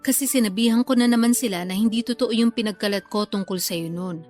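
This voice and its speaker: A woman's voice: on the high side, a little fast, darkish tone, faint music behind, close to an everyday voice. An actress playing a stubborn, touchy older sister and young grandmother who pleads and wheedles in a Tagalog radio drama. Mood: coaxing, apologetic.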